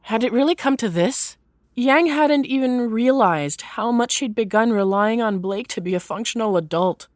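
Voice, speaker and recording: A woman reading scripted third-person story narration in an expressive storytelling manner. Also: a little high, no echo, clean studio sound.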